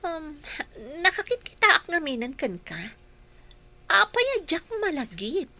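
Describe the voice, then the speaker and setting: Radio drama: woman as haughty, challenging Ilocano young woman, very lively high voice. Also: unhurried, full character voice. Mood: incredulous. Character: vain, confrontational young woman who judges looks.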